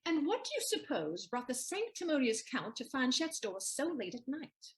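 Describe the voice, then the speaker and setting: A woman playing a quick-witted, teasing young lady's maid in a theatrical period comedy, arch and wary with a sharp tongue. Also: sits high, very animated. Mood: teasing, scolding.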